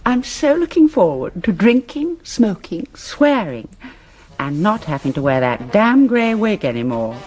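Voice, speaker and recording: Triumphant swindler, British, radio drama, unhurried delivery with animated swoops. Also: female voice, mid-range voice, husky. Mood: gleeful, relishing. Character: scheming, triumphant wife who masterminded a bank swindle. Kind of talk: British radio play acting.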